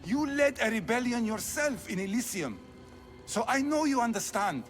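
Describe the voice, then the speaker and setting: An actor playing a cold, formal, embittered noble of an ancient race who despises humans, in a video game drama. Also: male voice, very high-pitched, sing-song, moderate pace, faint music behind. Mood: insistent.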